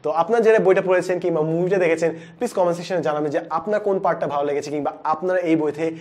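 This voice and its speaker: Man in his twenties, Bangladeshi accent, high voice, animated explainer, English words mixed in, studio lapel mic. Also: racing. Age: 20s. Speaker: book reviewer, educational video host. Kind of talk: presenting a book review to camera. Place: indoor studio with plain backdrop.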